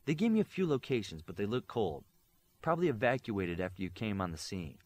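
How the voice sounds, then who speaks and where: A man's voice: lowish voice, very animated, brisk, no echo. A man voicing a busy, methodical female tech analyst in an audiobook, detailed and businesslike. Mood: matter-of-fact.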